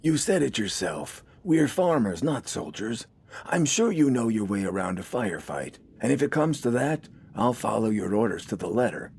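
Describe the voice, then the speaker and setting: A man's voice: medium pitch, sing-song, normal speed, creaky, darkish tone, slightly rough, voice pushed up, heavily acted. A man voicing a wry, weary older farmer turned militiaman, stubbornly outspoken in a folksy, grumbling way. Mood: weary defiance. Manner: folksy, grumbling, plain-spoken.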